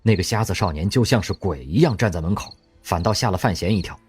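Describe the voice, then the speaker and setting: A man narrating an audio drama in an expressive storytelling manner. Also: sits low, quick pace, faint music behind.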